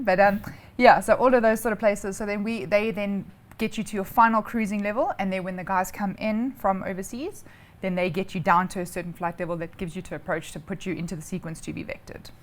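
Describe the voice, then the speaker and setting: A woman with a South African accent answering interview questions informally, explaining things step by step in a chatty way. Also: mid-range voice. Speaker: interview guest, air traffic controller.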